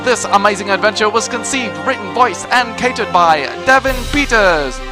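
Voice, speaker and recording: A man's voice: high voice, animated, normal speed, over music. A man reading scripted closing announcements and credits as the announcer of an audio drama. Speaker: announcer and creator of the show.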